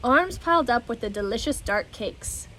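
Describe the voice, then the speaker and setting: A woman reading a children's story aloud in an expressive storytelling style. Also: on the high side, normal speed, a little hiss or hum.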